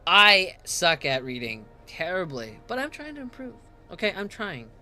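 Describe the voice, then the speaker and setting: A man's voice: high-pitched, soft background music. A man streaming a game from home on a headset, chatting casually and reading in-game text aloud, tripping over hard names. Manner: reads lore in a slower, calmer voice.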